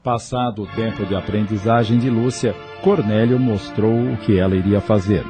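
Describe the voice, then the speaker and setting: Expressive low-voiced male narrator over music. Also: moderate pace. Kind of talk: scripted audiobook narration. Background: instrumental music.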